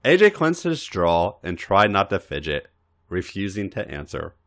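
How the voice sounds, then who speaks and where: Male podcast narrator for erotic fan fiction: lowish pitch, hugely varied intonation, music bed. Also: sing-song, normal speed, fairly bright tone. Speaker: podcast host and story reader.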